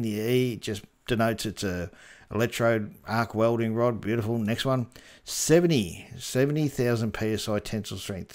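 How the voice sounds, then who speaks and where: A man's voice: on the low side, a little mellow. An Australian man presenting an informal how-to tutorial in a laid-back, colloquial way. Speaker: presenter of a four-wheel-drive repair tutorial.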